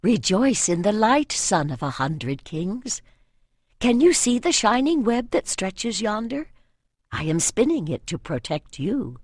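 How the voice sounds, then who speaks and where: A woman's voice: mid-range voice, very animated, unhurried, a little mellow, dry recording, clean studio sound. A woman voicing a solemn, devout priestess in a fantasy audiobook, speaking in a formal, incantatory way. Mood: tender blessing.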